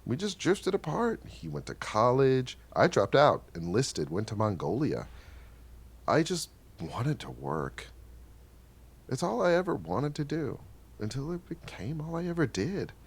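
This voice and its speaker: A man's voice: medium pitch, big pitch swings, a little slow, breathy edge, heavily acted. An actor playing a wistful, candid working man in a reflective first-person dramatic monologue.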